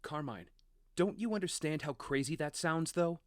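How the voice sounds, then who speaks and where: Male actor as principled wealthy doctor, defensive, reasoning, earnest, fairly high pitch, audio drama. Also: lively intonation, normal speed, dry recording, studio quality, lightly acted. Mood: firm reproach.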